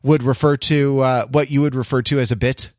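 A man speaks as a podcast co-host at a middling pitch, recorded close and dry. His delivery is casual and wry. He halts often and restarts his phrases as he explains. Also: steady tone, no room sound. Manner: halting, wry, self-interrupting. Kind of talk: casual discussion, summarizing and quoting an article.

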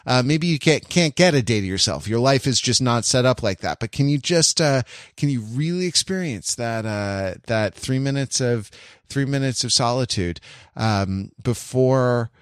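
Male podcast host, mid-pitched, casual and reflective, halting and repetitive delivery, very dry recording. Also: animated, clean tone, dry recording. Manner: rambling, halting, circles back repeating phrases.

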